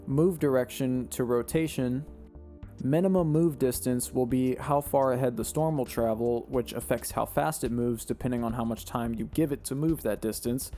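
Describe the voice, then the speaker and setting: A young man narrates a game-building tutorial, his voice a little hoarse as if he's losing it. The tone is mellow and dark, the delivery steady and instructional as he reads settings one after another. Faint music plays quietly behind him. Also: medium pitch. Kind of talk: step-by-step tutorial voice-over.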